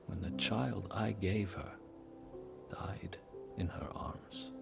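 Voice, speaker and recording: Slow, gravelly, solemn widowed king. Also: sits low, fairly even intonation, over music, full character voice. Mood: sorrowful, remembering. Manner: grave, measured, pausing, often breathy at phrase ends. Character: solemn, grieving widowed medieval king telling his story. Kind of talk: dramatic first-person storybook game narration.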